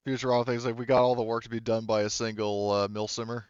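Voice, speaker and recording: A man's voice: mid-range voice, completely flat delivery, fast, darkish tone, clean tone, dry recording, muffled recording. A man casually chatting as he runs a tabletop roleplaying game. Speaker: game master. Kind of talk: casual game-running chat.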